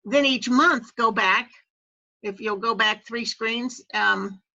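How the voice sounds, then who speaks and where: A woman's voice: fairly high pitch, slow, creaky, sharp tone. A woman presenting a methodical, step-by-step training walkthrough over an online video call. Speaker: presenter training club officers. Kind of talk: instructional walkthrough on a web call. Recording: dull, lo-fi web call audio.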